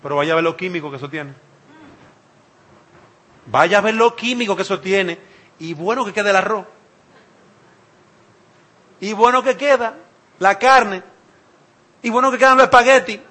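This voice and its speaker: A man's voice: high voice, moderate pace, long pauses, bright, forceful tone. A man from the Dominican Republic, speaking with a Dominican Spanish accent, preaching an emphatic sermon and repeating phrases for effect.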